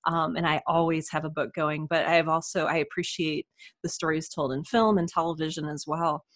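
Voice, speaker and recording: A woman's voice: deep voice, fairly even intonation, brisk, fairly bright tone, no echo. A woman speaking as a podcast guest in casual interview conversation, reflective and earnest.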